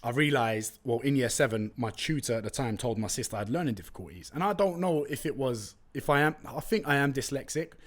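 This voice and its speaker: A young man with a London accent and Turkish as his first language hosts a podcast on his own. His voice sits at a middle pitch, close on a studio mic. He tells stories in a chatty, slangy, animated way. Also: brisk, hardly any pauses. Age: young adult. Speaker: podcast host. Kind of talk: casual solo podcast chat. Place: room with brick walls, indoors. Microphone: close studio condenser mic with pop filter.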